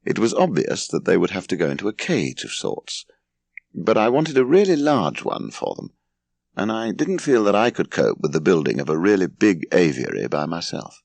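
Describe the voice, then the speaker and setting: A deep-voiced Englishman narrates an audiobook with great expression. His pitch moves freely from phrase to phrase, with a creaky edge to the low notes. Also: very animated, normal speed, darkish tone, dry recording.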